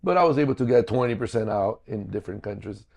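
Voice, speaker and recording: Gravelly-voiced man from Brazil. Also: fairly low pitch, animated, fast talker, darkish tone. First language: Portuguese. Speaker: podcast guest, financial business owner. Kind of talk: explanatory interview talk.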